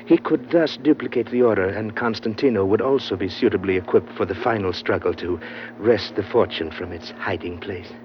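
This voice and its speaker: An actor in an old-time radio adventure playing a genteel, scheming underworld trader with flowery, fussy, unctuously polite speech. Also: male voice, lowish voice, brisk, natural voice. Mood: oily, courteous. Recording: dull, noisy vintage radio recording.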